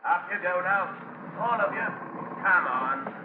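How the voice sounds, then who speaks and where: Lively, obedient ship's officer. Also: male voice, high-pitched, unhurried. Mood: dutiful. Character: obedient, falsely friendly English sailor, captain's officer. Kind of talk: 1940s radio acting. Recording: tinny, hissy 1940s radio recording.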